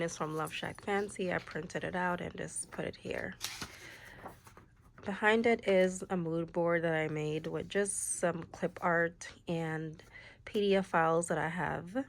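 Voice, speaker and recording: A young woman with a slightly stuffy, nasal voice from a cold, casually walking through her planner setup in a chatty, relaxed way, with fillers like "like" and "um". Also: fairly low pitch. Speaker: planner vlogger. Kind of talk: casual show-and-tell planner walkthrough.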